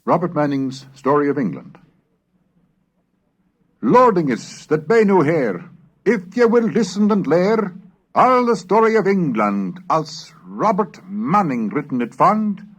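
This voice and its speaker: A man reading scripted lectures and old verse in a measured, scholarly manner, as a lecturer with a husky voice. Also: high voice. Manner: measured, scholarly, declamatory when reciting. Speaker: lecturer and reader. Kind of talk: scripted narration on English language history, with Middle English recitations.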